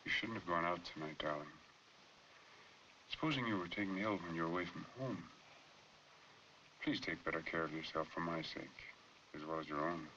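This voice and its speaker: A middle-aged American actor playing a smooth, attentive painter and husband in 1940s film style. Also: male voice, low-pitched, moderate pace, pauses often, bright, forceful tone. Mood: tender concern. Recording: noisy, dull 1940s film soundtrack.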